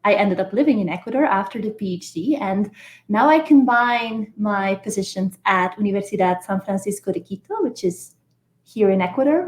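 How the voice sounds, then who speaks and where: Woman from Belgium, mid-pitched, measured, explanatory, roomy echo. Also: a little mellow. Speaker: guest, engineering professor. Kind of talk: podcast interview answers. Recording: echoey room.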